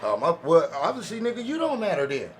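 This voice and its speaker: A man's voice: sits high, wildly swooping pitch, fast talker, slight background noise. A man chatting casually as a podcast panelist, making his points emphatically and repeating words to press them. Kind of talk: casual chat.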